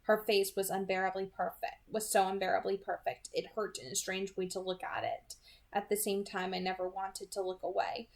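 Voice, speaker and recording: Female amateur narrator, medium pitch, noticeable vocal fry, scripted fiction read-aloud. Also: flat delivery, normal speed. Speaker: amateur audiobook reader.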